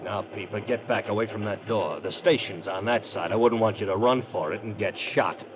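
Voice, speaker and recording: A man's voice: moderate pace, lightly acted. An actor playing a menacing, tough gunman protective of his brother in an old radio crime drama, with hard, slangy, threatening talk. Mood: bitter, menacing. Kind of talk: 1940s-50s radio crime drama acting. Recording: noisy old radio recording, train rumble behind.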